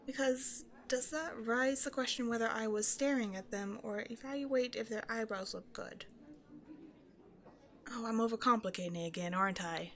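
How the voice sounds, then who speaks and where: A woman's voice: on the high side, normal speed, a bit bright. A woman playing a shy, self-doubting young woman who rambles hesitantly in an intimate one-sided roleplay conversation with a stranger. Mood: awkward, musing. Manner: hesitant, apologetic, nervously overthinking, giggly.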